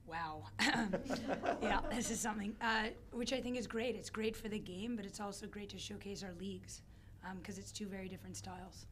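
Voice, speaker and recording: A middle-aged woman speaks with a hoarse, scratchy voice, her throat worn out. She answers press questions in a measured, thoughtful way from behind a table mic. Her pitch sits in a middle range for a woman. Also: fairly even intonation. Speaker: head coach. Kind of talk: postgame press conference answers. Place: press conference room, seated at a table. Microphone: desk microphone on a stand.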